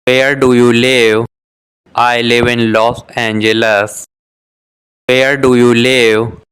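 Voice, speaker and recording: A man's voice: medium pitch, level tone, a bit bright, clear, resonant tone, poor audio. A man reading short beginner dialogues for an English lesson, saying each exchange twice with careful articulation. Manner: measured, carefully articulated delivery. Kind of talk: scripted beginner dialogue for shadowing practice.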